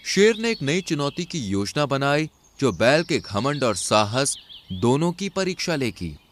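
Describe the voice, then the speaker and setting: Male storyteller for cartoon fables, mid-pitched, lively and dramatic, with faint background noise. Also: measured pace. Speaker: narrator.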